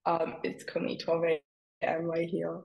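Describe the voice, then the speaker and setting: Student panelist: young female from Canada, slightly low and gravelly with vocal fry, casual delivery. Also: monotone, normal speed, a little mellow, low-quality recording. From Nova Scotia, Canada. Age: young adult. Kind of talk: casual self-introduction on a video call.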